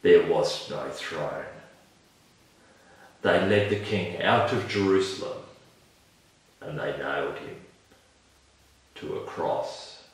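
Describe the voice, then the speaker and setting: A man voicing an old grandpa donkey in a children's story, gentle, solemn and given to sighing. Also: very deep voice. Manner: slow, sighing, measured. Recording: roomy, echoing, distant microphone.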